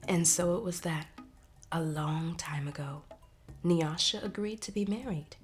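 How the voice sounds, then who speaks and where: Low-voiced woman reading a storybook. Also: steady tone, a little slow. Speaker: author narrating a read-aloud. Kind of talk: expressive storybook narration. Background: soft music.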